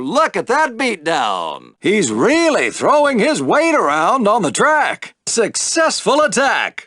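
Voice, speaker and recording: An excitable alien podracing announcer for a video game, high-voiced and swooping wildly in pitch. He is brash and gleeful, delivering showy, punchy sports commentary in a very dry, close recording. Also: no room sound, full character voice. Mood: gleeful, excited. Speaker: race announcer.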